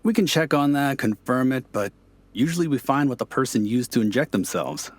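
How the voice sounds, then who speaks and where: An actor playing a businesslike, probing police officer in an audio drama. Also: male voice, mid-range voice, expressive, brisk, dry recording, lightly acted. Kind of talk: naturalistic audio drama acting.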